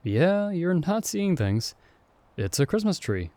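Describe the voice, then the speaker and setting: A man's voice: fairly high pitch, big pitch swings, slow, warm tone, dry recording. Guy doing a teasing young friend in an ASMR roleplay, easygoing, very dry sound. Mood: cheerful. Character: playful young man, the hero's friend summoned with him. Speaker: voice actor.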